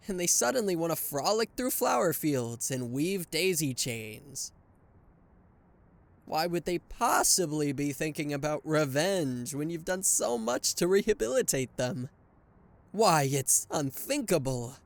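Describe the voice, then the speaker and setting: A man voicing a smug male anti-hero villain who gloats and teases a captured hero in an ASMR roleplay, with a mocking, flirtatious drawl. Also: fairly high pitch. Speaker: voice actor.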